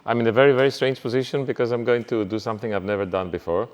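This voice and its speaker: A middle-aged man giving a university lecture, halting, with frequent fillers and restarts. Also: mid-range voice, steady tone, muffled recording. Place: lecture hall. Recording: reverberant lecture hall.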